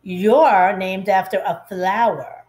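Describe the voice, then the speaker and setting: A woman's voice: mid-range voice, fairly even intonation, slow, darkish tone, pitched below the performer's own voice, natural voice. A woman playing a snooty, teasing schoolgirl mouse in a storybook, pointed and matter-of-fact. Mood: mocking.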